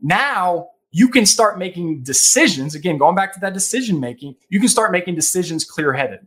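A man in his thirties with a slightly higher-pitched voice, speaking as a guest on a podcast. He gives practical money advice in an earnest, emphatic way and often checks in with "right?". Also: expressive, normal speed, fairly bright tone. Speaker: podcast guest, financial advisor. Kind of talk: casual podcast interview.

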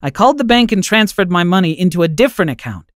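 A man voicing a kind, gentle elderly grandmother who speaks softly and soothingly in an animated story. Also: high-pitched, fast talker, dry recording, clean studio sound, pitched above the performer's own voice. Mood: reassuring. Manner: soft, soothing and forgiving.

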